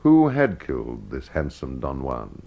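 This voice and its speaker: A man narrating a scripted old-time radio mystery as its host, with dramatic, measured storytelling. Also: very deep voice, wildly swooping pitch, creaky. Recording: dull lo-fi old radio sound.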